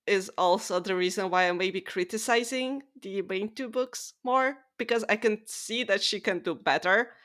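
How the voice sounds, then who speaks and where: A woman podcast co-host talks casually about books at a medium pitch. She is earnest and opinionated, phrasing her views informally as in a relaxed discussion. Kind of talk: casual book discussion chat.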